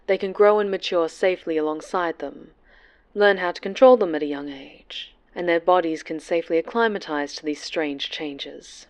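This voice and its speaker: A woman playing an obsessive, possessive winged superhero in a horror ASMR roleplay, sweetly menacing and taunting, often slipping into breathy whispers. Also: lowish voice. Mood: smug explaining.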